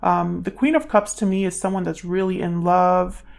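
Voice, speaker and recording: A woman's voice: on the low side, steady tone, normal speed, gravelly, darkish tone. A woman giving a casual, intuitive tarot reading as a channel host, musing aloud and sometimes trailing into murmured questions.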